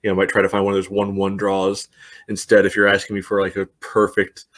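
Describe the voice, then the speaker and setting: A man co-hosting a casual sports podcast, dry and wry, reeling off stats at a steady clip. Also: low-pitched, brisk. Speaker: podcast co-host.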